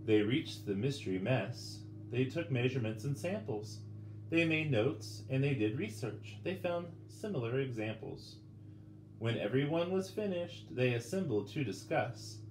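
A middle-aged man reading a children's picture book aloud with dramatic pauses, turning to the listeners with questions. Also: medium pitch, darkish tone. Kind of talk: expressive children's storybook read-aloud. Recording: roomy indoor sound with faint background noise.

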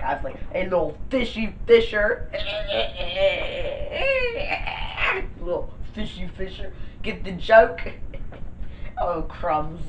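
A man's voice: sits high, fairly bright tone, slight background noise, exaggerated voice. A teenage boy playing a silly, pompous celebrity chef with a put-on fake accent, giggling at his own jokes. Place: bedroom with gaming desk. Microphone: far camera. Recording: echoey room from far off.

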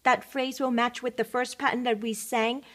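A woman teaching a keyboard and singing lesson, giving slow, patient instructions and singing solfege syllables and song phrases. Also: fairly high pitch, flat delivery, a bit bright. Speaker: music teacher. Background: keyboard playing.

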